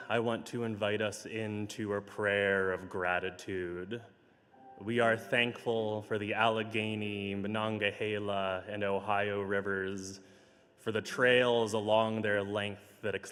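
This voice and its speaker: A man with a slightly hoarse, lowish voice leads his congregation in gentle prayer. He takes his time, pausing between phrases. The sound carries the long reverb of a large church hall, heard over a livestream. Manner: slow, measured and gentle. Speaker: minister. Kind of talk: pastoral notes and spoken prayer.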